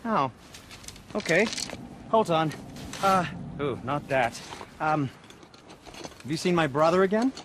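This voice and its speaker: Game role: vain, squeamish craftsman, high voice, swooping intonation, prissy muttering and flustered stammers. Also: lively intonation, slow pace, pauses often, noisy background. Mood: eager, grateful. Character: fussy, squeamish male craftsman weaponsmith, vain and easily flustered. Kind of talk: video game cutscene acting.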